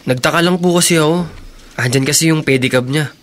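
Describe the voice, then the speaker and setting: A Filipino actor playing a bitter, unforgiving grown son in a radio drama, forceful and accusing. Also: male voice, a little high, fast talker, background noise, lightly acted. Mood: puzzled.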